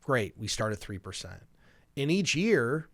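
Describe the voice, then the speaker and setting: A man's voice: medium pitch, sing-song, unhurried, fairly bright tone, no echo, clean studio sound. A middle-aged man hosting a personal finance show, explaining things conversationally and acting out everyday examples as he goes. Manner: explanatory, illustrates with hypothetical first-person quotes. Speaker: financial planner and show host.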